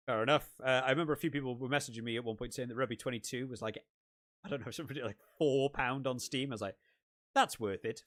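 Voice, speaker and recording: Uk guy rambling on a live stream, voice going a bit hoarse, lots of restarts. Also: mid-range voice, a bit bright. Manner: rambling, chatty, many restarts. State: voice wearing out, hoarse. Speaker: rugby gaming channel host. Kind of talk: casual live stream chat.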